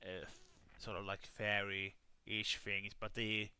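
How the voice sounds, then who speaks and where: A man hosting a live stream, helping players make characters and talking in a halting way with many fillers. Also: lowish voice, clear, resonant tone, dry recording. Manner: says he has a calming voice. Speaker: streamer running a game. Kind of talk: casual live-stream chat.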